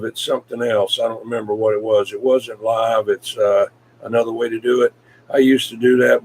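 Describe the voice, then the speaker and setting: A man in casual CB radio chat, talking in a folksy, rambling, hesitant way with frequent pauses. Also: mid-range voice, gravelly, a little mellow, slight background noise, rough recording. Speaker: CB radio hobbyist, video maker.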